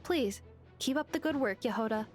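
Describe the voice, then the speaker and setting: A woman voicing a polite, dutiful robot girl in a video game, reporting in a formal, precise and measured way. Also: a little high, natural voice. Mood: formal, composed. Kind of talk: English video game voice acting. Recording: game music underneath. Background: game music.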